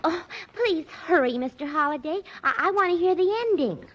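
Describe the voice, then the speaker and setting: A ditzy, eager young secretary in a 1940s radio play. Her voice is very high and girlish, heard on a muffled old recording. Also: lively intonation, normal speed. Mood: eager, naive. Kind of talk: 1940s radio comedy-drama acting.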